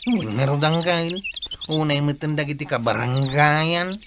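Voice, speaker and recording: Casting: Ilocano village sidekick, easygoing food lover, fairly high voice, comedy drama, clear background noise. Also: male voice, a little slow, fairly bright tone. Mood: grumbling.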